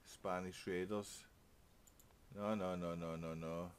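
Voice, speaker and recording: Deep-voiced man gaming. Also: fairly even intonation, takes it slowly, slight background noise. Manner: chatty, thinking aloud while playing. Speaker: gaming channel host. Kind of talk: casual let's play commentary.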